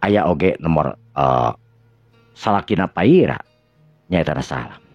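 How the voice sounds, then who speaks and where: A man with a Sundanese accent and a gravelly, husky voice, narrating a tale in the manner of a radio storyteller. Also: extremely low pitch, expressive, takes it slowly, a little mellow, no echo. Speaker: storyteller and channel host. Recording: dull lo-fi recording with music. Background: music.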